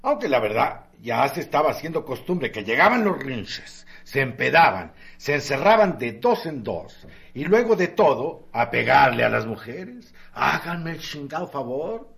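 A man's voice: medium pitch, big pitch swings, gravelly, fairly bright tone, heavily acted. An actor playing an earthy Mexican barroom man who tells admiring yarns in salty, colloquial radio drama style. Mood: indignant, gossipy. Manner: colloquial, salty, drawn-out storytelling.

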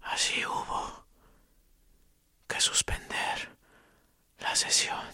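An actor playing a battered male political prisoner in a radio drama, whispering hoarsely with bitter, mocking defiance. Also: very slow, pushed and bright, full character voice. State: exhausted from torture.

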